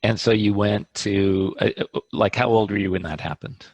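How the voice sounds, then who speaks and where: Male interviewer, low voice, dry close sound; brief prompts. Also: moderate pace, no room sound. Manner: brief prompts and encouraging murmurs. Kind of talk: interview questions.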